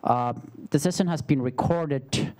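A man's voice: fairly high pitch, unhurried, darkish tone. A man with a Finnish accent speaking as seminar moderator, giving informal closing remarks at a podium. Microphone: podium microphone.

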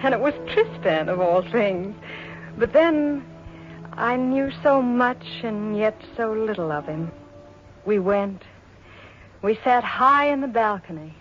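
A woman's voice: on the high side, lively intonation. Wistful, earnest woman, old radio drama. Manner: wistful, halting, emotional delivery with dramatic repetition. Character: earnest mother recalling her troubled love for a hard man. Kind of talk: 1940s radio drama acting, intimate narration and dialogue. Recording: dull 1940s radio recording, music behind.

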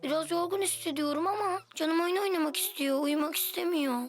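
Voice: extremely high pitch, a little fast. A performer voicing an eager, playful little boy in a children's cartoon, with an exclamatory childlike manner. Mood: protesting, curious.

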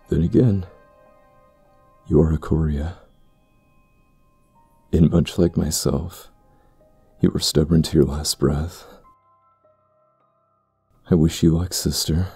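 A man voicing an ominous, brooding ritualist in a fantasy audio drama, with a gravelly, husky voice and a solemn, portentous manner. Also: extremely low pitch, dark tone, no room sound, full character voice. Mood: solemn, menacing. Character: ominous brooding male ritualist addressing his estranged sister.

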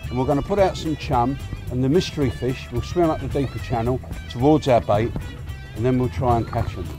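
A man's voice: medium pitch, fairly quick, over music, rough recording. An older man with an English accent hosting a fishing show, chatting casually to camera from a boat out at sea. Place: outdoors on a small boat at sea.

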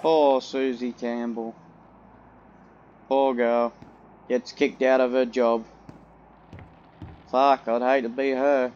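A man doing let's play commentary in a put-on British accent, jokey, profane and loud. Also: medium pitch, muffled recording, slight background noise. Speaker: gamer.

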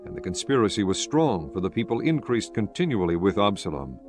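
A man giving scripted Bible narration in a measured, solemn manner. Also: on the low side, very animated, over music.

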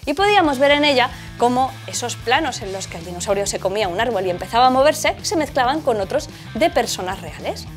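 Female presenter, forties, Castilian Spanish accent. Chatty scripted narration, slightly high voice, music bed underneath. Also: lively intonation, fast. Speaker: film show presenter. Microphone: lapel mic.

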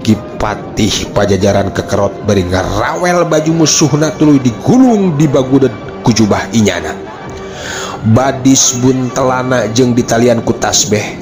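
A man with a Sundanese accent narrating a folk tale as a dramatic storyteller. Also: medium pitch.

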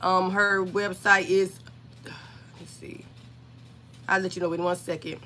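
Hoarse woman hosting a live craft stream. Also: on the low side, little pitch movement, a little slow, pushed and bright, slight background noise. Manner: chatty, greets viewers by name. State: hoarse, scratchy voice from illness. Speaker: craft channel host. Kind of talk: casual livestream chat greeting viewers. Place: small home craft room, indoors.

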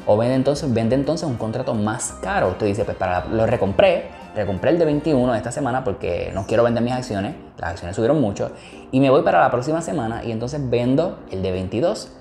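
A man's voice: medium pitch, lively intonation, a little fast, mellow. A man with a Puerto Rican Spanish accent teaching a tutorial on investing, explaining step by step and often checking in with "ok?". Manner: explanatory, repeats "¿ok?" to check understanding. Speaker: investing educator. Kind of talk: screen-recorded tutorial explaining options. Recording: echoey room with faint music.

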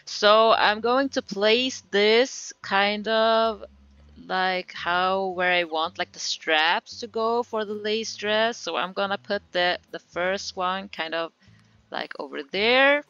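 A woman's voice: medium pitch, slow pace, a bit bright, clean tone, dry recording, lo-fi sound. A woman with a Swedish accent chatting casually through a game tutorial, giggling often and leaning on fillers. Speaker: gaming tutorial creator.

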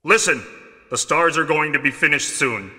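Brief: accusing male captain, extremely sharp, forceful voice, stilted retro game delivery, cavernous reverb. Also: a little high, expressive, normal speed. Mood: bitter, grave. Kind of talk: 1990s video game voice acting.

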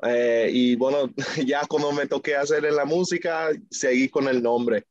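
A man's voice: medium pitch, unhurried, dull audio. A young man raised in the United States by a Dominican family, answering interview questions casually on a video call, with frequent fillers and easy laughs. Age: young adult. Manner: relaxed, laughs easily, many fillers and restarts. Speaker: guest musician. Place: small indoor room, video call.

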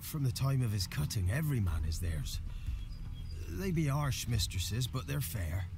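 An actor playing a weary, deferential peasant village elder in a video game, solemn and rustic. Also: male voice, medium pitch, sing-song, moderate pace. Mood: earnest. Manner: rustic, solemn, archaic dialect. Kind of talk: dramatic video game acting. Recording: music under the dialogue.

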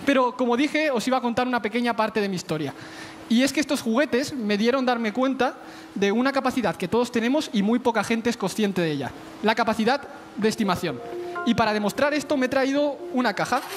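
A man's voice: very high-pitched, brisk. Young man, twenties, Valencian Spanish accent, confident stage patter, headset mic, music behind. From Spain. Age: 20s. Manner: confident, smooth, addresses judges directly. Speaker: talent show contestant. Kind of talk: stage patter while performing mentalism. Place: large television studio stage. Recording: broadcast audio with clear music behind.

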